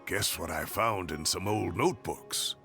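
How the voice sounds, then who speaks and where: A man's voice: low voice, measured pace. An actor playing a jovial, absent-minded older male professor in a video game, chatty and exclamatory. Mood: delighted. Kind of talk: video game character dialogue. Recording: background music under the voice.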